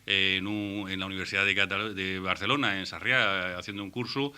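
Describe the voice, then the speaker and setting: Man reasoning haltingly, bright voice. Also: low-pitched, steady tone, smooth. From Murcia, Spain. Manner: halting, repeats and restarts words while reasoning. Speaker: radio talk show panelist. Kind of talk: radio debate.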